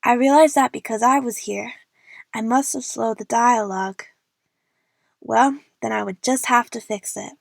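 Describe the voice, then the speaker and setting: A woman's voice: fairly high pitch, a little slow, creaky, no echo, clean studio sound. A young woman playing an eager, chatty movie fan who narrates her adventure in the first person for an audio drama. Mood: wry narration.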